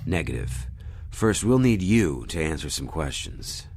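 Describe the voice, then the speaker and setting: A man playing a stern, authoritative starship captain who speaks firmly and deliberately. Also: rumbling low, very animated, a little mellow, slight background noise, exaggerated voice. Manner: firm, measured, commanding. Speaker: ship captain. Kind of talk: audio drama acting.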